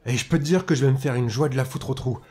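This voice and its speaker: A man playing a gruff but caring, blunt and slangy policeman in an audio drama. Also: medium pitch, racing, darkish tone, natural voice. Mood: stunned, vengeful.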